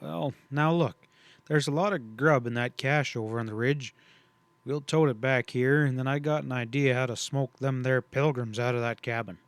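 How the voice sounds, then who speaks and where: A man's voice: mid-range voice, moderate pace, clear, resonant tone, no room sound, natural voice. A man voicing a wry drawling Texas Ranger. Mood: plotting. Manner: folksy drawl, cool and wry.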